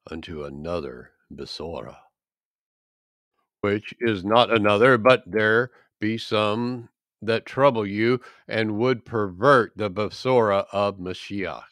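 A man reading a Bible chapter aloud in a measured, deliberate, solemn style. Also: fairly low pitch, a bit bright, dry recording. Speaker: scripture reader.

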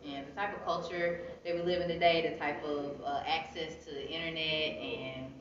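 A woman's voice: low voice, fairly even intonation, moderate pace. A young American woman talking casually and reflectively as an interviewed author, recorded from a few feet away in an indoor gallery. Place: indoor art gallery, seated against wall. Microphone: phone camera several feet away. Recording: echoey room, distant mic, dull sound, crowd noise.

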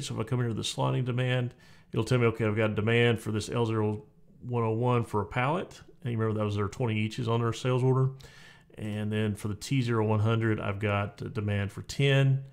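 A man in his forties giving a relaxed, conversational software walkthrough from a home office, with frequent fillers and restarts. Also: medium pitch. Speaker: software tutorial presenter. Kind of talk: casual screen-recorded software walkthrough.

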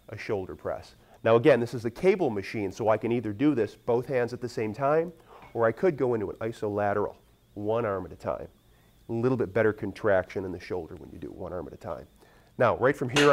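A middle-aged American man giving a plain-spoken, explanatory product demonstration, like a fitness salesman instructing buyers. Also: lowish voice.

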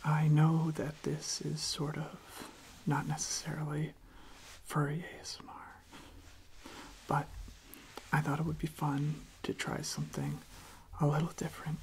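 A young adult ASMR host speaking in a soft, gentle whisper, halting and repeating words, close to the microphone. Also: medium pitch, completely flat delivery.

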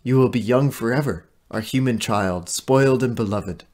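Male shapeshifting fae tempter, played by a man, mid-pitched, seductive and taunting, for audio drama. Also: moderate pace, darkish tone, lightly acted. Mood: coaxing. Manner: coaxing, taunting. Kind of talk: audio play acting.

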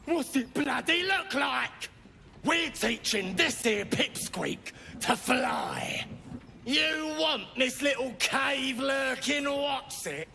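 A brash British goblin bully for a video game. The voice is extremely gravelly and very high for a male, with a hard, forceful edge as he barks. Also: takes it slowly, slight background noise, exaggerated voice. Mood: belligerent. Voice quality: gravelly, strained. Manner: shouting, rough. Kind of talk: video game character acting.

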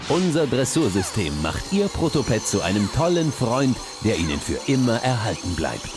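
Man as a lively commercial announcer over music. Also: mid-range voice, normal speed, lightly acted. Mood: cheery selling. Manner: upbeat, salesman-like. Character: cheery, slick male corporate commercial announcer. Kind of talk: parody toy advert in a game.

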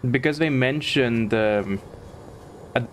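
A man streams a video game and talks off the cuff in a casual, chatty way. His voice sits at a middle pitch. There is faint noise and game ambience behind him. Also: slow pace. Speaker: live streamer.

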